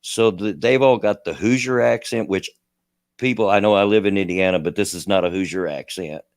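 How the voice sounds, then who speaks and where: A man's voice: on the low side, a little fast, dry recording, studio quality. A middle-aged man with a Kentucky and Tennessee redneck accent, hosting a casual livestream and rambling with a self-deprecating laugh. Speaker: livestream host.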